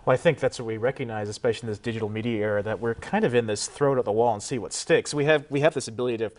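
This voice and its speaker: Brief: male TV host, quick delivery, mid-range voice, reads audience questions. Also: medium pitch, a little mellow. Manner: brisk, reads questions and wraps up the show. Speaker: interview host. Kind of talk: broadcast interview hosting.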